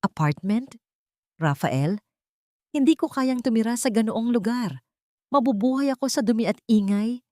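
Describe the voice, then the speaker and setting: A woman's voice: on the high side, lively intonation, normal speed, long pauses, mellow, no room sound, voice pushed up, close to an everyday voice. Woman narrator as proud older society mother, haughty, dramatic and pleading, audiobook drama, dry sound. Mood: indignant.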